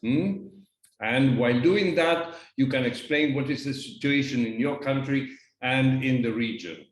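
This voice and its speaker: Guy hosting a webinar, echoey room, medium pitch, hesitant, keeps repeating words. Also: expressive, a little slow. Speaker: webinar moderator. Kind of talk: moderating a panel discussion. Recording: roomy echoing webinar audio.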